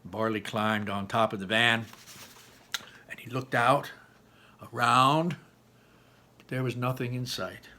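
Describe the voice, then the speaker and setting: A man reading a children's story aloud in an expressive, animated way with dramatic pauses. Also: mid-range voice. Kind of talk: animated children's book narration.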